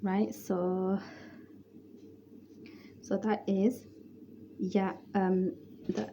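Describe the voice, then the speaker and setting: A woman's voice: mid-range voice, flat delivery, drawn-out words, dark tone, slight background noise. A woman teaching Arabic grammar, explaining patiently and repeating word lists.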